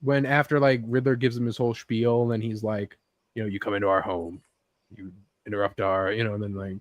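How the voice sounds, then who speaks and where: A man's voice: on the low side, little pitch movement, brisk, no echo. A man talking informally and bluntly with friends in a casual discussion. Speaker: podcast panelist.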